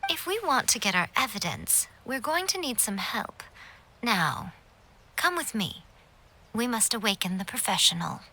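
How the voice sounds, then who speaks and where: A woman acting a poised, sly and haughty mastermind in an English video game dub, speaking in a measured, condescending, formal way. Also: on the high side, big pitch swings, background noise. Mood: calm, resolute.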